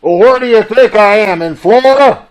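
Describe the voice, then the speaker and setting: An actor playing an irritated, blustery police inspector in 1940s radio style. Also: male voice, high-pitched, moderate pace, natural voice. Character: gruff-tempered, irritated male police inspector. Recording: noisy video-call audio.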